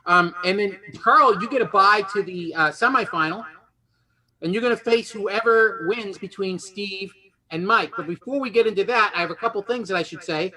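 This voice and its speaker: A man with a high, very bright voice hosts a game show. He talks off the cuff and jokes with the players as he moves things along. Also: normal speed, rough recording. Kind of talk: unscripted, joking game show hosting.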